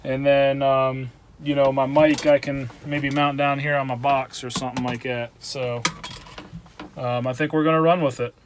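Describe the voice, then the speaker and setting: An easygoing man of middle pitch talks through a wiring job in a truck cab, relaxed and practical, with a faint engine hum behind him. He pauses with fillers while he figures things out. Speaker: channel host. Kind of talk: casual how-to narration.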